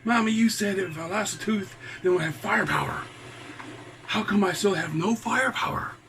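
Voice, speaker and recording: A man's voice: high-pitched, normal speed, voice pushed up. A man voicing an eager, impatient little boy dragon from a children's storybook, calling out in a pleading, childlike manner. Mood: confused, pleading. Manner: excited, pleading calls to his mama. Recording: noisy, with background ambience.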